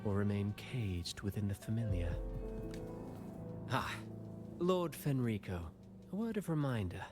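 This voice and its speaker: Suave, theatrical, sly schemer. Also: male voice, fairly low pitch, animated, a little slow, music in the background. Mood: sly, cryptic. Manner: theatrical, courteous yet needling, lingering on phrases. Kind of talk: dramatic video game dialogue.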